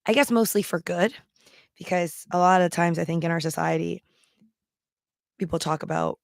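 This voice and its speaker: Casual female vlogger, raspy and gravelly, rambling chatter, dry close sound. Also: on the low side, normal speed, no echo. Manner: rambling, with many ums and likes; talking around a throat candy. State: strained, worn voice. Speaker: livestream seller and vlogger. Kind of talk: casual chat to camera.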